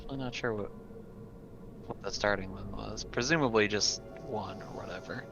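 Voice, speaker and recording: A man's voice: on the low side, normal speed, gravelly, lo-fi sound. A man playing a tabletop roleplaying game, chatting casually and a little tentatively about his character. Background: background music.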